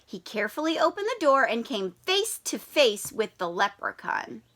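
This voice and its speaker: Woman teacher, children's read-aloud, expressive, lively swooping voice, high and bright. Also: normal speed. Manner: animated, expressive storytelling with questions to children.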